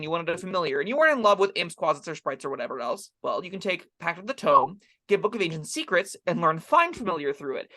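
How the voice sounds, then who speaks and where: A man's voice: sits high, bright, forceful tone. A man co-hosting a casual, opinionated discussion of tabletop game rules, explaining his points emphatically.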